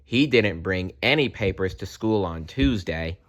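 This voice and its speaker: A man reading a children's book aloud as a storyteller in an animated style. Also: sits low, unhurried.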